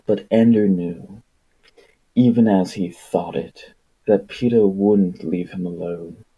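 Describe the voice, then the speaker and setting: A man's voice: fairly low pitch, slow, vocal fry, slightly rough, highs cut off. A man reading a story aloud as an amateur narrator, breaking in with irreverent, wry asides. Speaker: amateur book reader.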